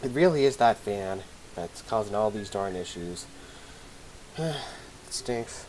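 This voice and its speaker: A man's voice: lowish voice, animated, slow, darkish tone, a little hiss or hum, rough recording. A young man chatting casually on a game livestream into a headset mic, rambling and self-deprecating, his voice a little off after being sick. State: voice slightly off after a recent illness. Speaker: game streamer.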